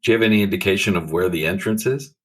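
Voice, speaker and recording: A man's voice: sits low, rapid-fire, breathy edge. A man playing a tabletop role-playing game, speaking casually in character.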